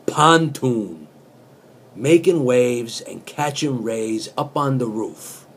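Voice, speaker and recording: A man in his fifties reciting in a deliberate, mock-serious way with casual asides, recorded in a small room on a webcam. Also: medium pitch, expressive, slight background noise. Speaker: comic host reading song lyrics as poetry. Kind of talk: dramatic spoken recitation of pop lyrics with casual asides.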